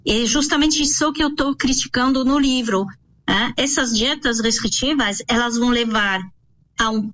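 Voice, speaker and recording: A middle-aged woman from France with a French accent, a native French speaker, explaining as an expert guest in an interview, halting and repeating words as she searches for them. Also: on the high side, fairly bright tone, a little hiss or hum.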